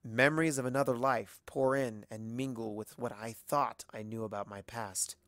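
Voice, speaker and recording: Male amateur audiobook narrator, medium pitch, dramatic scripted storytelling, very dry sound. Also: fairly even intonation, moderate pace, a bit bright, dry recording.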